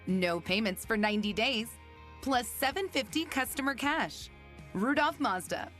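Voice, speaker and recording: Female ad announcer, lively pitch swings, higher voice, music bed. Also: measured pace. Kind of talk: upbeat car dealer commercial.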